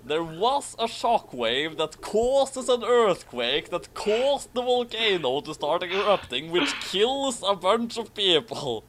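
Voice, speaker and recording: A man's voice: high voice, big pitch swings, slow pace, few pauses, fairly bright tone, a little hiss or hum. A man co-hosting a casual commentary, reading a story aloud theatrically and adding dry, sarcastic asides.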